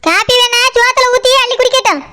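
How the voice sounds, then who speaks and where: A performer voicing a scolding, sarcastic village woman in a comedy cartoon, with a Kanyakumari Tamil accent and an artificially squeaky voice. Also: quick pace. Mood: scornful, scolding. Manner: sarcastic, nagging, scornful. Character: scolding, sarcastic village woman, sharp-tongued elder sister and mother. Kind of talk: comedy cartoon dialogue in colloquial dialect. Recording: very noisy track with clicks.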